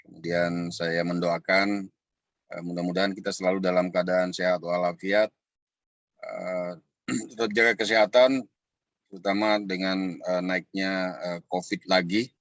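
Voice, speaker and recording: A man giving an informal address as a webinar guest, courteous and halting in his delivery. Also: low-pitched, fairly even intonation, a bit bright. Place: online video call. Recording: dull echoey online call audio.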